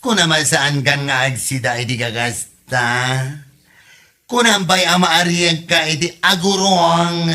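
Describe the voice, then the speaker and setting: Actor as a teasing gossip neighbour, comedy radio drama, Ilocano accent, sharp bright voice, drawn-out delivery. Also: medium pitch, steady tone. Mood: taunting. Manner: theatrical exclamations, taunting questions.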